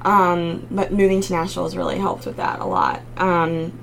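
Young raspy-voiced woman, lowish pitch. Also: sing-song, normal speed, slight background noise. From Maryland, United States. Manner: chatty, frequent ums and laughs. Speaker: singer-songwriter guest. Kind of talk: casual interview chat.

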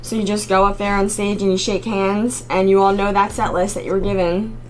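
A young woman with a raspy voice, a singer-songwriter guest chatting casually in an interview with frequent ums. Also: medium pitch, level tone, brisk, a little hiss or hum, rough recording. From Maryland, United States.

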